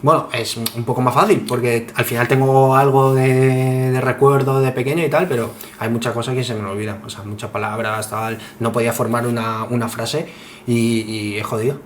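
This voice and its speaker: A man at an average male pitch. He chats casually as a guest, building his answers in loose, hesitant pieces. Background noise is audible. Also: moderate pace. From Spain. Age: 20s. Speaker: podcast guest. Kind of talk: casual chat. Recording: clear steady background noise.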